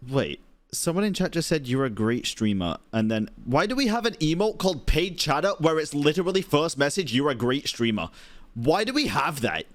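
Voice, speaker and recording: A man's voice: fairly high pitch, very animated, moderate pace. A man streaming a video game with casual chat, reacting in a sarcastic, exclamatory, sweary way.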